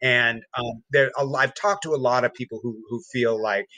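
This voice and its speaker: A man, a podcast guest, giving a personal account in casual conversation, halting, with many repeated words and restarts. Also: mid-range voice, fairly even intonation, pushed and bright.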